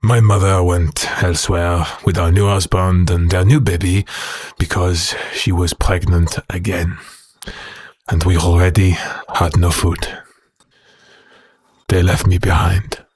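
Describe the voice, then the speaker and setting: An actor playing a bitter, haunted werewolf prisoner in an audio drama, speaking in a slow, brooding, halting way with a rough voice. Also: male voice, low voice, flat delivery, a little mellow, dry recording. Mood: haunted sorrow. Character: bitter haunted male werewolf prisoner recounting his past.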